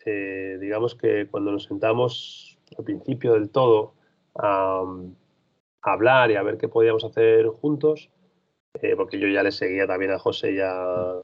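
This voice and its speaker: A man's voice: lowish voice, vocal fry. A man who works as a freelance basketball reporter, answering interview questions in a thoughtful, rambling way with frequent restarts. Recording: dull lo-fi sound.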